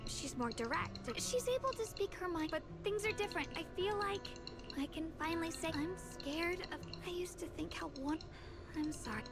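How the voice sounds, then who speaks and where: A woman voicing a gentle, earnest young martial disciple in a video game, sincere and a little hesitant. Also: very high-pitched, lively intonation, normal speed. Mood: grateful, shy. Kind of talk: scripted video game voice acting. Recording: game music behind the voice.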